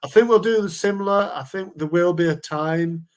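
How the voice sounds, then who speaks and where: A man's voice: high voice, normal speed. A man co-hosting a casual football podcast, rambling along with repeated words and fillers. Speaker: podcast co-host.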